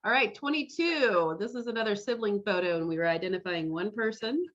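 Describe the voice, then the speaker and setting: A woman's voice: mid-range voice, brisk, a bit bright. A woman hosting a casual group game over a video call. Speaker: game host. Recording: muffled video call audio.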